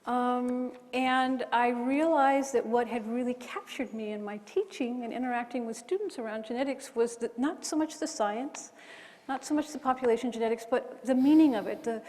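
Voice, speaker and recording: A woman's voice: a little high, moderate pace, a little mellow. A middle-aged woman who grew up in Hawaii, with an accent between American and British, telling her story on a forum panel in a candid, self-deprecating way with frequent restarts. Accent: mixed American and British. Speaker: bioethicist panelist. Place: large lecture hall. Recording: hall with long reverb.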